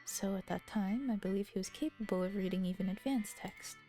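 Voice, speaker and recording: Husky woman narrating a story. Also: mid-range voice, a little fast, warm tone, faint music behind. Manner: giggly, chatty asides. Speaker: streamer reading a visual novel aloud. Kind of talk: scripted narration with casual asides.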